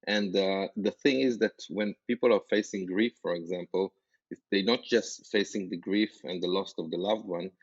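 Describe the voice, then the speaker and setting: An Israeli-accented man with a notably low voice speaks earnestly as a podcast guest, stopping and restarting his sentences and leaning on fillers. The audio is dry and a little muffled. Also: fairly even intonation, normal speed, breathy edge, no echo. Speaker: startup founder, guest. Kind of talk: podcast interview.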